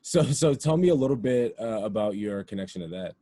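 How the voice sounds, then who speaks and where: Male podcast interviewer, dark relaxed tone in a dry recording, slangy, stumbling over word starts. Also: fairly low pitch, fairly quick, dry recording. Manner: informal, slangy, restarts words repeatedly. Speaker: interviewer, show host. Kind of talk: casual interview chat.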